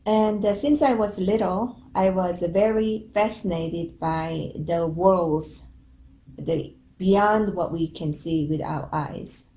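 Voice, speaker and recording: A woman from South Korea, a native Korean speaker, gently telling her story as a spiritual teacher in English. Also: on the low side, takes it slowly, reverberant. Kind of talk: calm introduction and personal story.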